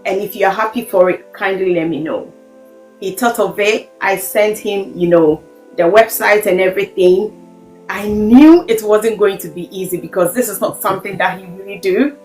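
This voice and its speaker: A woman hosting an interview, speaking effusively and filling pauses with "you know". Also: mid-range voice, roomy sound, soft background music, rough recording. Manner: effusive, warm praise, fillers like "you know". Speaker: host. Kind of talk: podcast interview host.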